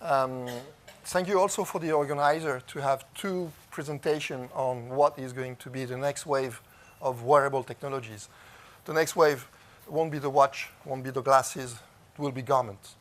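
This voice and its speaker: A man with a French accent, French his first language, presenting at a technology conference in a halting way, with many fillers and restarts. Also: mid-range voice. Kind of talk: conference talk. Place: conference stage.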